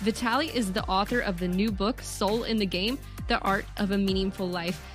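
A woman's voice: mid-range voice, normal speed, soft background music. A woman hosting an interview show, reading an upbeat intro and then asking long questions filled with "um" and "you know". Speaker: podcast host.

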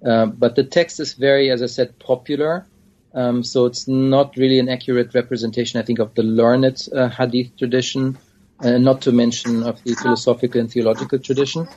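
A man, a scholar being interviewed, answering in a hesitant, thoughtful way with frequent pauses. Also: mid-range voice, flat delivery, a little mellow. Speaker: scholar of Islamic studies, guest. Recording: dull lo-fi audio.

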